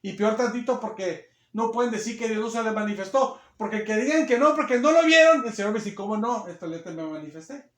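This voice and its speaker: High-voiced preacher, echoey church. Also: male voice, lively intonation, brisk, few pauses. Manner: loud, strong voice held back, rising to emphatic bursts. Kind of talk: sermon.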